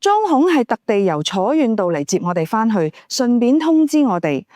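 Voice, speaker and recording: Female narrator as poised exiled noblewoman, audiobook drama, coy measured delivery, high voice, dry recording. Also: big pitch swings, mellow, dry recording. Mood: grave, calculating. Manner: coy, measured, formal old-fashioned speech. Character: poised, seductive exiled noblewoman, a scheming widow.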